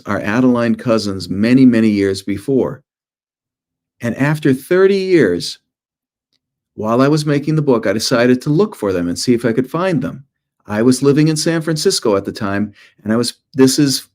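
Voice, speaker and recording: A man's voice: medium pitch, darkish tone. A middle-aged man, an author, presenting informally to schoolchildren in short storytelling phrases with conversational asides.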